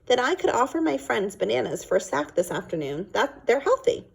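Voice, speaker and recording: A woman's voice: a little high, very animated, a little fast, creaky, slightly rough, pitched above the performer's own voice, exaggerated voice, rough recording. A woman voicing an eager, earnest little girl in a storybook, with an emphatic, pleading manner.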